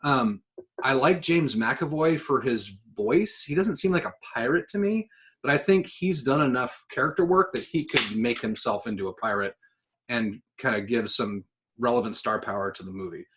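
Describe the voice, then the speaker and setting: A man speaks in a middle-pitched, everyday voice as a podcast co-host. He is enthusiastic and conversational about pop culture, and drops casual swearing into his talk. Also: lively intonation, normal speed, fairly bright tone. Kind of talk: casual chat about games and films.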